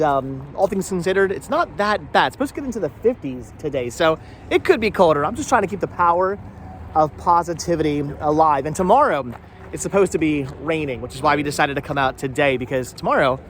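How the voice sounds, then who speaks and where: Male vlog host, fairly high voice, upbeat and chatty, casual walk-around delivery, light outdoor noise behind. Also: expressive, fairly quick. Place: outdoor flea market on a cold day. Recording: faint outdoor background noise.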